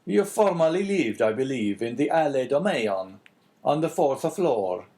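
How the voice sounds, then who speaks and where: A man voicing a courteous, inquisitive priest with an Italian accent, speaking formally and deliberately in a storybook reading. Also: mid-range voice, sing-song, mellow, pitched above the performer's own voice. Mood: probing.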